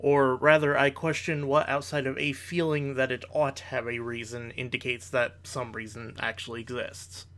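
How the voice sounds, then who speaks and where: Measured man giving analytical commentary. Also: medium pitch, little pitch movement, a bit bright. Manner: measured, analytical, formal wording. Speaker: reaction video commentator. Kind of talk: reaction commentary, argumentative.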